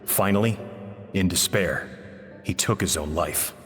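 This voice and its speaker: Sad formal game character, low measured voice telling his regrets, music behind. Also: fairly bright tone. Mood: grieving. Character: regretful man recounting a disgraced friend's tragic downfall. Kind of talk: dramatic scripted game voice acting, letter narration. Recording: long reverb, music behind.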